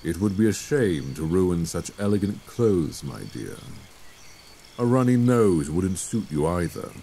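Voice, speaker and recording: A man playing a suave, courteous Victorian gentleman vampire in an intimate ASMR roleplay, speaking in genteel, solicitous phrases close to a binaural microphone. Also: very animated, measured pace, slight background noise, heavily acted. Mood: pleasantly inviting. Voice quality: low, creaky voice.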